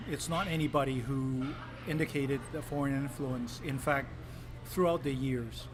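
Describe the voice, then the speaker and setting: A man from Hong Kong, a politician giving earnest interview answers with many pauses and fillers. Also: medium pitch. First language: Cantonese. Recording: clip with noisy ambience.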